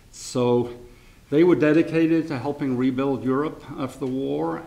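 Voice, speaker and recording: An older man speaks at a seminar with a gravelly, creaky voice at an ordinary middle pitch. He tells family anecdotes in a rambling, informal way, often stopping to correct himself and chuckling now and then. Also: mellow. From grew up in France and England. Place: lecture hall.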